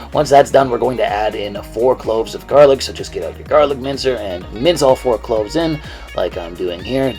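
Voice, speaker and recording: A young man with a hoarse, stuffed-up voice, chatting his way through a recipe on his cooking channel. He keeps it laid-back and self-deprecating, tossing in the odd curse word, at an everyday mid pitch over faint background music. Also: a little mellow. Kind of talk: casual recipe walkthrough.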